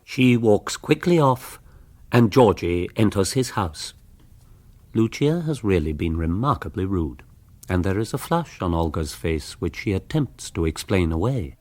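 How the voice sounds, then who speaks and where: A man's voice: sits low, very animated, measured pace, darkish tone. A man with an English accent narrating a radio comedy as a dryly amused, urbane storyteller. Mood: wry.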